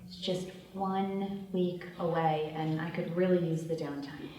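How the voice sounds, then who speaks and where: A woman's voice: fairly low pitch, steady tone, a little slow, dark tone, lightly acted. A woman acting a stressed, principled young medical student who moonlights as a thief, at a screenplay table read. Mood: weary venting. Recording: very echoey room, distant, noisy mic.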